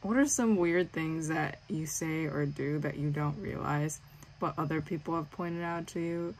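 A woman's voice: low voice, normal speed, a little hiss or hum. A young woman vlogging from her bedroom, chatty and self-aware, with lots of ums. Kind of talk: casual vlog talk to camera. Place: bedroom with bunk beds, indoors.

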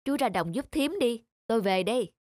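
A man voicing a modest, kindly, middle-aged older brother in a cartoon, calm and plain-spoken. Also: very high voice, moderate pace, no echo, studio quality. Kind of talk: animated cartoon dialogue.

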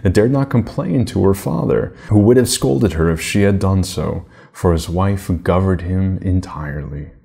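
Low, mellow-voiced man reading a storybook. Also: normal speed, creaky, few pauses, slightly rough. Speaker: storybook reader. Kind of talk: storybook narration of a fairy tale.

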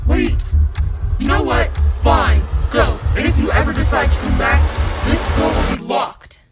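A woman's voice: sits high, expressive, measured pace, gravelly, over loud music, exaggerated voice. A woman playing a disapproving, melodramatic mother in an amateur radio play. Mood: angry, rejecting.

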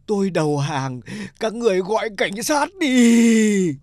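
A man's voice: high voice, animated, measured pace, darkish tone, no echo, studio quality. A man voicing a hapless, exasperated burglar in a cartoon, complaining in a whiny, overdramatic way. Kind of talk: cartoon comedy acting.

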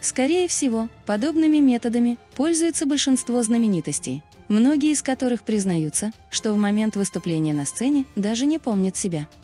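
A woman's voice: a little high, lively intonation, brisk, few pauses, dark tone. A woman reading a scripted explainer narration as a narrator. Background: background music.

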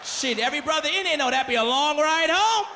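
An American man in his thirties doing stand-up comedy in a loud, animated, profane manner on a handheld microphone. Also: extremely high pitch, fairly quick. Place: large theater stage with live audience. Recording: echoey theater PA, audience noise.

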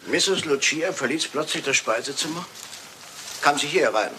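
An actor playing a fastidious, shrewd Belgian detective in a German crime radio play, speaking precisely and probingly with French words sprinkled in. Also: male voice, a little high, lively intonation, noisy background, natural voice.

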